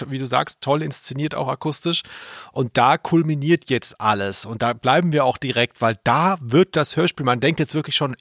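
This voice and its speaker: A man's voice: mid-range voice, vocal fry, fairly bright tone, no room sound, studio quality. A man hosting a podcast about radio plays, explaining things conversationally in an amused way to his co-host.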